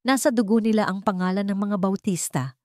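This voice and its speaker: A woman narrator with a Filipino accent voicing a cold, authoritative female lawyer, calm and emphatic. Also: medium pitch, a little fast, no room sound, clean studio sound, voice pushed up, lightly acted. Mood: cold, firm.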